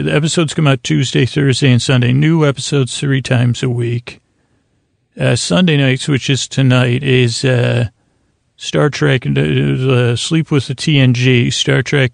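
A man with a creaky voice hosting a bedtime podcast, rambling gently and hesitantly in lulling, soothing tones and taking his time. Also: mid-range voice, no room sound, studio quality. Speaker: podcast host.

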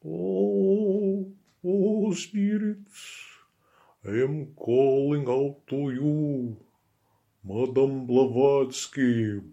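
A man's voice: medium pitch, very slow, creaky, warm tone, dull audio, voice pushed up. A man voicing a theatrical, stout Russian clairvoyant woman in an improvised Eastern European accent, drawing out séance calls for a comic story. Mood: mystical summoning.

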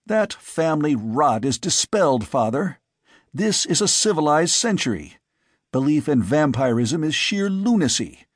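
A man's voice: on the high side, big pitch swings, unhurried, dry recording, studio quality, pitched above the performer's own voice. A man voicing an earnest, agitated young man who pleads insistently with his father.

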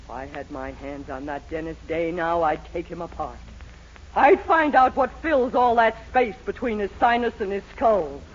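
A woman's voice: lowish voice, normal speed, lightly acted. An actress playing a domineering, melodramatic middle-aged wife and mother in a 1940s radio sitcom. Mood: outraged. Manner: domineering, scolding, theatrical. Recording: noisy, muffled 1940s radio recording.

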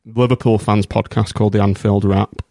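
Gravelly man hosting a podcast. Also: fairly low pitch, level tone, moderate pace, warm tone, dry recording. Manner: rambling, restarts, friendly. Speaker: podcast host. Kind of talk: casual podcast chat.

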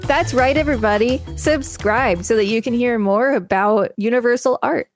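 A woman's voice: a little high, normal speed, music in the background. Upbeat woman podcast co-host reading. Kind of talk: reading aloud with commentary.

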